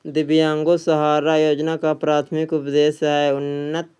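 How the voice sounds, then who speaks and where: A man's voice: fairly high pitch, monotone, normal speed, a little mellow, clean tone. A man working as an exam coach, reading quiz questions and answers aloud in an instructional way, often repeating them.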